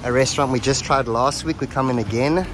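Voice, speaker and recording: A man's voice: mid-range voice, brisk, noisy background. Laid-back young man vlogging food. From South Africa. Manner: laid-back, slangy, enthusiastic. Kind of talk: casual food tasting chat. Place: restaurant, then home living room.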